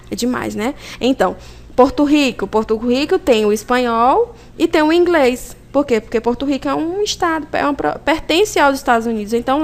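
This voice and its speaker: A woman with a high voice and a Brazilian Portuguese accent presents a video lesson. She explains in an informal, didactic way, posing questions and answering them herself, with frequent little tag questions to check that listeners follow. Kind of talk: informal recorded lecture.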